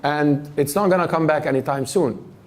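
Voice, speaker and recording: A man giving a public lecture in a hall, with a voice on the higher side. He speaks thoughtfully and often restarts a phrase before finishing it. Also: moderate pace.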